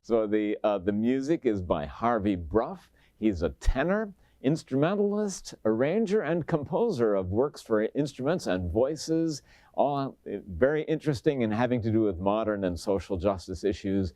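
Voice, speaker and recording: A man's voice: lowish voice, animated, moderate pace, warm tone, no room sound, studio quality. A man hosting a television interview, speaking appreciatively with a somewhat hesitant delivery.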